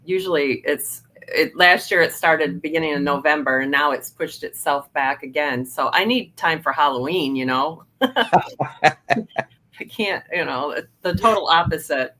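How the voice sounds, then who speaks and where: Low-voiced woman hosting a chatty interview. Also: a little slow, pushed and bright. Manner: chatty, restarts often, many ums. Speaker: podcast host. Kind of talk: casual interview chat.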